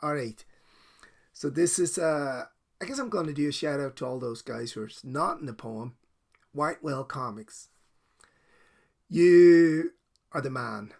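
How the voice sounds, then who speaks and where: A man's voice: a little high, expressive, a little slow, creaky, pauses often, darkish tone. A middle-aged Danish man with a Danish accent touched by Irish and American traces, talking casually and apologetically in a heartfelt video message, rambling and laughing often. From Denmark. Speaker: comic book collector. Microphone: desk microphone.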